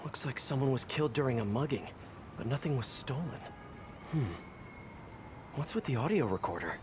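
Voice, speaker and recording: An actor playing a young, quippy superhero sleuth in a video game, wryly thinking aloud. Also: male voice, mid-range voice, expressive, moderate pace, background noise, natural voice. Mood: curious, wry. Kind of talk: game cutscene acting.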